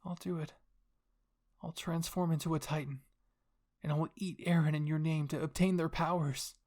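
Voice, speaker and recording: A man's voice: a little high, little pitch movement, moderate pace, darkish tone, close to an everyday voice. A man voicing a distressed young royal daughter who pleads and questions in a dramatic anime fan fiction reading. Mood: resolved.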